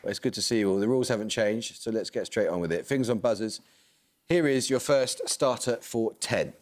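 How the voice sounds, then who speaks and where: A man hosting a television quiz, reading out questions and rulings in a brisk, formal, precise manner. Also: lowish voice, some chatter behind, slight background noise. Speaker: quizmaster.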